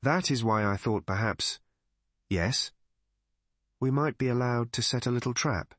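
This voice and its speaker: A man voicing a shrewd, calm elderly woman detective in an audiobook mystery, explaining in a measured, methodical way. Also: mid-range voice, no echo, lightly acted. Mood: gently scheming.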